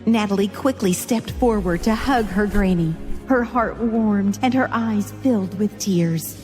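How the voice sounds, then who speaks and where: A woman narrator with a soft-edged, mellow tone and medium pitch. She reads a scripted drama story, with long reverb and music underneath. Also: measured pace.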